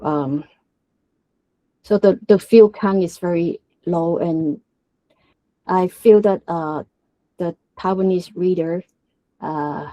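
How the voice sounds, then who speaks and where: Slow, hesitant woman with a Hong Kong accent. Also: fairly low pitch, dark tone, no room sound, poor audio. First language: Cantonese. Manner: hesitant, many filler sounds and restarts. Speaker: guest speaker at a developer meetup. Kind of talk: technical presentation.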